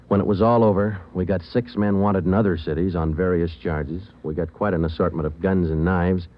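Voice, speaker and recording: An actor playing a calm police sergeant who narrates the case in a flat, clipped, matter-of-fact way, in 1940s radio drama. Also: male voice, sits low, a little fast, natural voice. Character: terse male police sergeant, calm and methodical. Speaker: narrator and detective. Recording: muffled 1940s radio broadcast with music.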